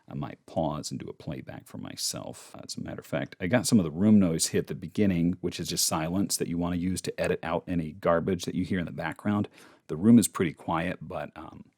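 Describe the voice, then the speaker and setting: A man who works as a voice actor, teaching in a casual, conversational and emphatic way with demonstrations. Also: low-pitched. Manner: emphatic, conversational, checks in with "okay?". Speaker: voice actor giving a tutorial. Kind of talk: casual instructional talk with demonstrations.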